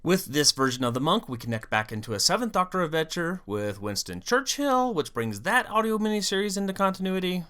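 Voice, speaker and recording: Lively, animated video host with expressive swings. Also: mid-range voice, a little fast, hardly any pauses, clean tone. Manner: animated, dramatic storytelling with wry asides. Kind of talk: scripted fan commentary on an audio drama series.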